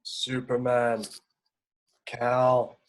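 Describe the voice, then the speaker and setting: Man acting out a slow, solemn, wounded Martian. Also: mid-range voice, monotone, poor audio. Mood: pained, solemn. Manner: grave, halting, weighty delivery. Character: wounded, grieving Martian hero, deep and solemn. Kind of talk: amateur table read of a superhero screenplay.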